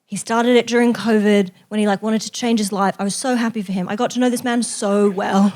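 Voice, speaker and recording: A woman telling an anecdote to an audience in a casual, animated, self-deprecating way. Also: mid-range voice, fairly quick, background noise. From raised in Australia. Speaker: guest journalist and author.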